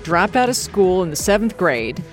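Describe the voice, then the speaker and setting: An American woman hosting a radio series, moving between scripted introduction and conversational questions with an emphatic, expressive delivery. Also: fairly low pitch, moderate pace, music in the background.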